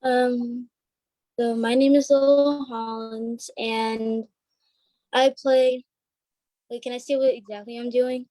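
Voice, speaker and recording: A woman's voice: fairly high pitch, flat delivery, takes it slowly, pauses often, mellow, no room sound, poor audio. A young woman in her teens chatting informally as an interview guest, a bit hesitant. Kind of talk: casual chat.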